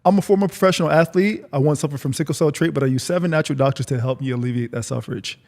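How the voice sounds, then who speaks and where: A man's voice: fairly high pitch, racing, a little mellow. A man hosting a podcast in a casual, conversational interview style. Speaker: podcast host.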